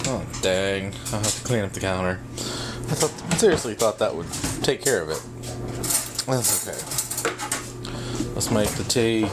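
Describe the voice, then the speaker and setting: A man's voice: lowish voice, animated, measured pace, pauses often. A man from the American South chatting casually and thinking aloud as he vlogs in his kitchen. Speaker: vlogger and host. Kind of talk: casual morning vlog chat while doing kitchen tasks. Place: home kitchen, indoors. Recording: noisy kitchen with loud appliance hum. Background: running dryer, clattering dishes.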